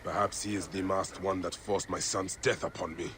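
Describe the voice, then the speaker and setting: An actor playing a brooding Egyptian warrior and vengeful grieving father in a game, speaking gruffly and with measured gravity. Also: sits low, fairly even intonation, fairly bright tone, slight background noise. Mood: grim, questioning. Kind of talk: video game cutscene acting.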